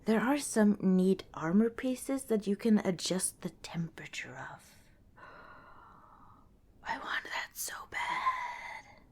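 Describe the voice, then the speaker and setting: A woman acts out a friendly young female wasteland wanderer in an ASMR roleplay. She rambles gently and hesitantly at a slow, unhurried pace, chatty and protective, in a medium-pitched voice. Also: wildly swooping pitch, exaggerated voice. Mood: chatty, wistful. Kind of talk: ASMR roleplay, casual one-sided dialogue.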